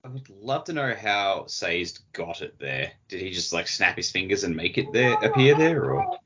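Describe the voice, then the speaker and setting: A man's voice: low-pitched, big pitch swings, moderate pace, dull audio, background noise. A man co-hosting a podcast, chatting casually with colloquial commentary. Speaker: podcast co-host. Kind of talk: casual chat.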